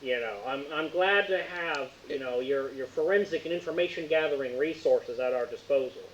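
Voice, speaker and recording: A man's voice: on the high side, normal speed, close to an everyday voice. A man voicing a stern, territorial lawman with an exaggerated Southern drawl and a folksy, bossy delivery in a tabletop game. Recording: dull roomy audio with faint hiss.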